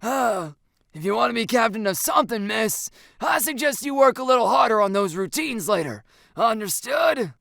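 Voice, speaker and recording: A commanding, exasperated high school coach, acted by a woman narrator, loud and curt. The delivery swings in pitch, projected over a classroom in a dry audiobook recording. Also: animated, moderate pace, creaky, no echo. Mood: sarcastic, weary.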